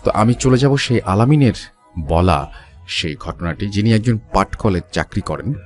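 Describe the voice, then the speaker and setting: A man's voice: fairly low pitch, expressive, normal speed, faint music behind. A man presenting a show as host in a casual, chatty, informal way, mixing English words into his talk.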